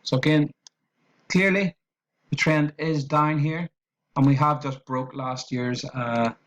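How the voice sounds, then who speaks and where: A man's voice: a little high, steady tone, slow pace. A man with an Irish accent talking through a trading tutorial on screen, in a loose, repetitive way with fillers and restarts. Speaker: trading educator.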